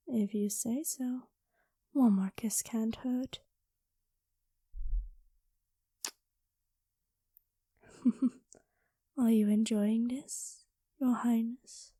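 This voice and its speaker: A woman voicing a gentle, lovestruck dragon queen in an ASMR roleplay, speaking softly and intimately with formal courtly phrasing, often slipping into whispers, close on the microphone. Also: fairly high pitch, steady tone, extremely slow, pauses often, no echo. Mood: intimate, flirtatious. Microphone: close microphone, dry sound.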